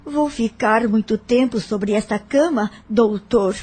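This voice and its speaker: A woman's voice: fairly high pitch, a little slow, a little mellow, husky. A woman playing a proud, dramatic female patient in pain in an audio novel. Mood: mocking, petulant. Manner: mocking, dramatic. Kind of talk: audio novel character acting.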